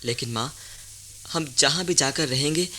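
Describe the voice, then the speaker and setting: Young village son, radio drama: earnest, dutiful, slightly high, very bright. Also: male voice, moderate pace, a little hiss or hum. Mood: questioning, troubled. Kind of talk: radio play acting.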